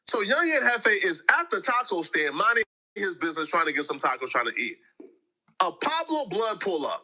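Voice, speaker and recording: A man's voice: high voice, sing-song, normal speed, sharp tone, poor audio. A man telling street news as a dramatic, slangy story, acting out the quotes. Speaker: content creator.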